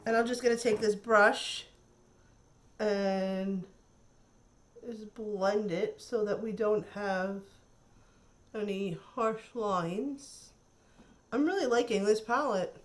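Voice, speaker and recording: A woman's voice: medium pitch, takes it slowly, long pauses. A woman chatting casually through a makeup tutorial, thinking aloud and admiring the colors, her voice a little nasal from a cold. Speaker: beauty vlogger.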